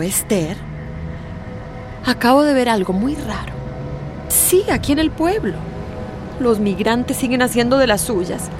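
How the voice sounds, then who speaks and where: An actress playing a nosy, gossipy older village woman, fussy and scandalized, in a radio drama. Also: on the high side. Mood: conspiratorial.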